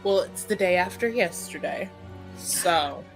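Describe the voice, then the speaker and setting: A woman playing a caring, concerned servant in a fantasy tabletop game, gentle and practical. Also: on the low side, lively intonation, unhurried, faint music behind, poor audio.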